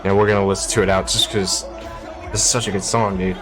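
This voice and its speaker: A man's voice: deep voice, little pitch movement, fast, over music, crowd noise. A man in his thirties giving casual, slangy reaction commentary, thinking aloud into a close mic in a small room. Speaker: music reaction video host.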